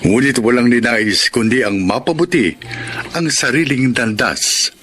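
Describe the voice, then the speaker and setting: A man narrating and announcing a radio drama in a solemn, deliberate, scripted style. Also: mid-range voice, a bit bright, soft background music.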